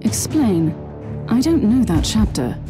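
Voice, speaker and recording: A woman's voice: medium pitch, sing-song, measured pace, music in the background. A woman voicing a stern, commanding, devout inquisitor in a video game, speaking curtly and with authority. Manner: curt, authoritative.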